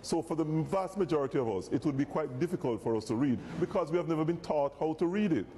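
A man's voice: a little high, lively intonation, fast talker, mellow. A man with a Jamaican accent, a native Patois speaker, gives explanatory interview remarks as a reverend, recorded in a large hall. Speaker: Bible society reverend. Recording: very echoey hall with some noise.

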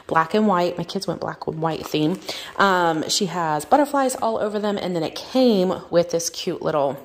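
A woman in her thirties, a mom and vlogger, chatting casually at her kitchen counter with lots of fillers. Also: low voice. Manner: chatty, rambling, filler-heavy. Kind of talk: casual show-and-tell vlog. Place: home kitchen. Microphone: phone camera held over a countertop.

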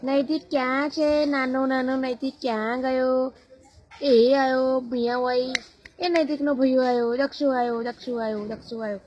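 A muffled, lo-fi home recording of a Gujarati woman. Her voice is on the high side and very unhurried. She plays with a baby in repetitive, sing-song chants and nursery rhymes. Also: steady tone, a little mellow. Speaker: caregiver playing with a baby.

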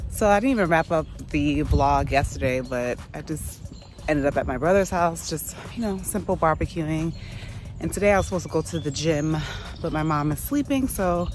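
A woman in her thirties chatting casually to a phone camera held at arm's length, relaxed and full of fillers as she vlogs her day. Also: low voice. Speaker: lifestyle vlogger. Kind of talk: casual vlog chat to camera. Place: indoors, home and shopping mall.

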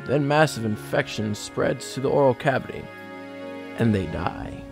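Casting: male actor as wry game ranger, gravelly, animated pitch, plainspoken, audio drama over music. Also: normal speed. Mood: matter-of-fact. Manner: plainspoken, dry humour. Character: matter-of-fact, wry male park ranger.

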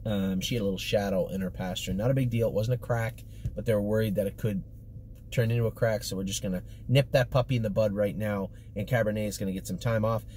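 Middle-aged man, lowish voice, noisy car. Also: steady tone, fast talker, darkish tone. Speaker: stable commentator giving horse updates. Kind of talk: casual spoken update to camera. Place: inside a parked car. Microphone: camera or phone mounted at arm's length. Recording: clear background noise in a car.

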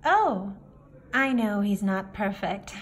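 A woman reading a picture book aloud to young children, in simple phrases with deliberate pauses. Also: medium pitch, lively intonation. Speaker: children's story reader. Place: indoor room, book filmed on a table. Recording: faint room noise behind the voice.

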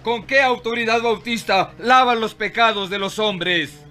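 A suspicious cartoon man of authority. He is stern and demanding in a high, forceful, sharp voice over faint music. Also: unhurried. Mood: challenging, stern. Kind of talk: animated Bible film dub acting.

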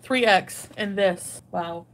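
A woman's voice: medium pitch, slow, fairly bright tone. A woman in her thirties doing a casual clothing haul at home, rambling and giggly, often joking at her own expense. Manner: rambling, giggly, self-deprecating. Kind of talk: casual haul vlog chat. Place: indoor bedroom at home.

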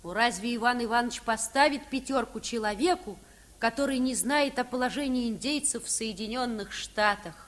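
A woman as a high-voiced, eager schoolboy. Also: moderate pace, natural voice. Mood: doubtful, pleading. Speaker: actress in a children's radio play. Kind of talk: mid-century Soviet radio drama acting. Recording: old archival radio recording.

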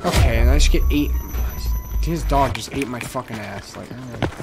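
A man's voice: medium pitch, moderate pace. A young man streaming a shooter game, chatting casually and cursing in frustration through a headset. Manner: profane, frustrated trash talk. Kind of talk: casual gameplay chat. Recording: game music playing loudly behind.

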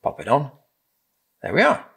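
A middle-aged British man gently and encouragingly demonstrating a craft step by step in simple instructions. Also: medium pitch, wildly swooping pitch, moderate pace, vocal fry, slightly rough. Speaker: craft presenter. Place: indoor room with timber-framed walls, at a table.

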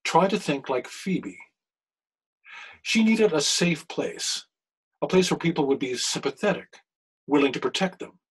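A man playing an anguished, scholarly clergyman in a period radio mystery, speaking with formal, deliberate diction. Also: a little high, expressive, husky, low-quality recording. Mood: thoughtful. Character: anguished, scholarly older clergyman posing as crime boss.

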